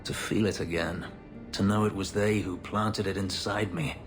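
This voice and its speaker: Deep, grave ex-slave elf warrior, played by a man; controlled, clipped; reverberant game audio with music. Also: steady tone, normal speed, close to an everyday voice. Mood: remorseful. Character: brooding elven male ex-slave warrior, bitter. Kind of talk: video game voice acting.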